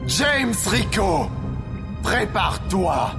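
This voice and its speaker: A man's voice: sits high, very animated, drawn-out words, full character voice. A man playing a huge, menacing brawler boss who taunts and mocks in an audio adventure drama. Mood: menacing, taunting. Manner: menacing, mocking. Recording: loud music and crowd noise behind.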